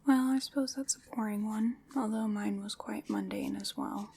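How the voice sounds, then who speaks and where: A woman playing a wry, faintly condescending witch in an ASMR roleplay, whispering softly and musing as she goes. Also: mid-range voice, huge pitch swings, full character voice. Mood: dryly amused. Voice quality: soft, breathy whispering. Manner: unhurried, musing, dryly teasing.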